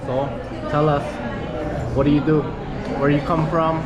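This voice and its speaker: A man's voice: fairly high pitch, little pitch movement, measured pace, lo-fi sound, heavy background noise. A man hosting a casual vlog, chatting and telling stories in an upbeat, easygoing way inside a busy restaurant. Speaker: vlog host. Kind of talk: casual on-camera conversation. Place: busy restaurant with music playing. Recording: loud music and crowd chatter behind.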